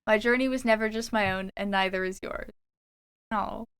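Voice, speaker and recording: A woman's voice: mid-range voice, fairly even intonation, normal speed, fairly bright tone, smooth. A young adult Canadian woman streaming a game, chatting casually and reading her journal entries aloud. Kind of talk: casual livestream chat while gaming.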